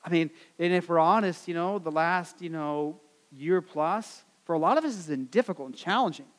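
American man, pastor, high-pitched, earnest and repetitive, sermon in a reverberant hall. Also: normal speed. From United States. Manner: earnest, repetitive emphasis, many fillers.

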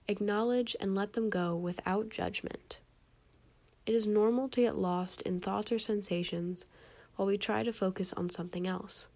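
A young adult woman reading a scripted guided meditation in a calm, gentle, measured way, with soft phrase endings. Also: medium pitch.

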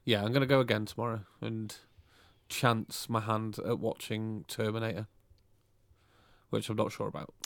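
A man's voice: lowish voice, little pitch movement, moderate pace, gravelly, no echo. A man co-hosting a podcast, rambling in an easygoing casual chat. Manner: rambling, easygoing, restarts his thoughts. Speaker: podcast co-host.

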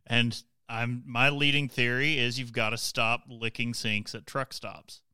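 Bright, clear-voiced joking man. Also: medium pitch, level tone, a little slow, no echo. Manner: teasing, joking. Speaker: podcast co-host. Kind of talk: casual banter.

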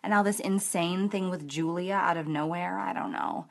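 A woman's voice: fairly low pitch, moderate pace, gravelly. Woman playing anxious young heroine Mary. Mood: worried. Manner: earnest, worried. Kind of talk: radio soap acting.

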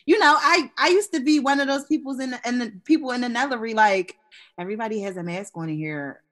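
A woman co-hosts a relaxed podcast conversation. She is animated and laughs easily, with bursts of exclamation between stretches of steady explaining. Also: a little high, fast talker. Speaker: co-host. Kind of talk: casual podcast chat.

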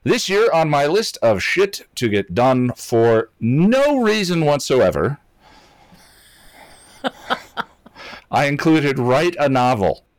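A man's voice: a little high, sing-song, slow, long pauses, no room sound. A man hosting a casual podcast conversation in a self-deprecating, hesitant manner. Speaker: podcast and channel host.

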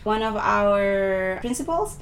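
A woman's voice: medium pitch, animated, slow, a little hiss or hum. A woman from southern Chile, a native Spanish speaker in her thirties, chatting in an enthusiastic, extroverted way as a podcast guest. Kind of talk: casual conversational interview, personal storytelling.

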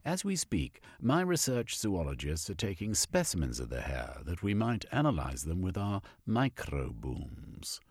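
A man voicing a supercilious male zoologist official in an audiobook, speaking in a haughty, measured way. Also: deep voice, wildly swooping pitch, darkish tone, breathy edge, no echo, clean studio sound, pitched above the performer's own voice, exaggerated voice. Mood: grave.

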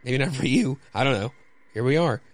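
A man hosting a podcast, giving casual, halting, self-deprecating commentary and narration on a comic. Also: mid-range voice, no room sound. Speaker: podcast host.

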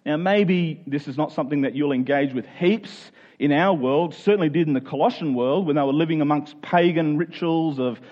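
A man's voice: on the high side, moderate pace, few pauses, lo-fi sound. A man preaching a sermon in plain conversational words, earnest, posing rhetorical questions and restating phrases for emphasis.

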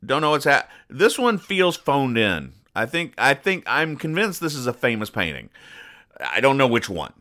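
A man hosting a podcast, describing comic strips in a halting, deadpan, mock-exasperated way. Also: mid-range voice. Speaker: podcast host. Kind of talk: comic commentary reading newspaper comics aloud.

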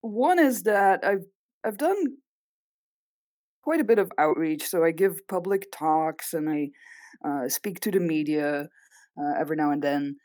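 Interview guest: Belgian woman, Dutch accent, low voice, dry recording, hesitant and thoughtful. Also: animated, measured pace, long pauses, darkish tone, dry recording. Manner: thoughtful, with frequent ums and restarts. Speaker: guest scientist. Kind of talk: interview answers.

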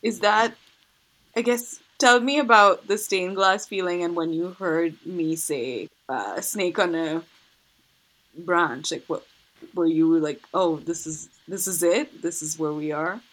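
A woman's voice: fairly low pitch, takes it slowly, fairly bright tone, rough recording. A woman in a casual conversation, thinking aloud with frequent restarts and light laughter.

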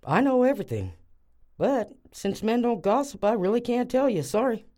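A woman's voice: fairly high pitch, very animated, moderate pace, mellow. A woman playing a sassy, no-nonsense small-town snack seller in a comic audio drama, blunt and teasing with a Southern US accent. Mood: smug, teasing.

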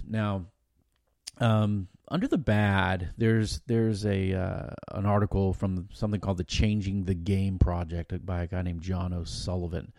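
A middle-aged American man with a projecting voice, co-hosting a podcast in a casual, colloquial, animated storytelling way. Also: low voice, creaky, dry recording, studio quality. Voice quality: loud, projecting voice. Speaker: podcast host. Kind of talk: casual conversational podcast discussion.

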